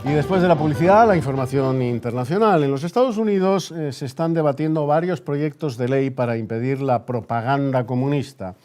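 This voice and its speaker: A man's voice: mid-range voice, a little fast, hardly any pauses, a little mellow, soft background music. A man with an accent from Spain, hosting on radio in the manner of a news presenter. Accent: Spanish, from Spain. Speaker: radio host. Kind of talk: period radio news bulletin reading, then studio interview.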